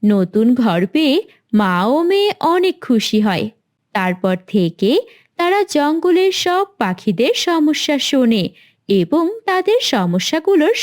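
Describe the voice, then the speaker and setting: Female narrator for children's cartoon tales: high voice, lively intonation, scripted storytelling.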